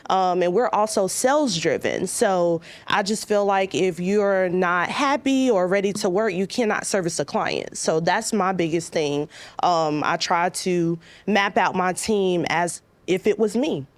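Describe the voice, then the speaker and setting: A woman's voice: fairly low pitch, unhurried, no room sound. A woman speaking earnestly on a panel about what she looks for when hiring for her team. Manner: earnest, motivational. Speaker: spa manager on a panel. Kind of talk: panel talk to students.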